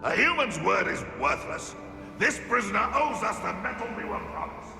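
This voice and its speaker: An actor playing a surly goblin guard in a video game, gravelly, sneering and contemptuous of humans. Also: male voice, sits high, very animated, normal speed, bright, forceful tone, heavily acted. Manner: sneering, menacing. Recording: echoey cavern reverb, game music behind.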